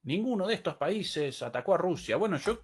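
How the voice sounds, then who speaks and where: A man's voice: sits high, lively intonation, fast talker. A man hosting live political commentary on a broadcast. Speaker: political show host.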